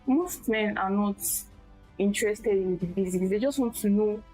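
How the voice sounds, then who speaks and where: Soft-spoken woman, halting. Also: medium pitch, husky, faint music behind, rough recording. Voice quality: small, quiet voice. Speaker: guest, tech skills tutor. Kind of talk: casual conversational interview.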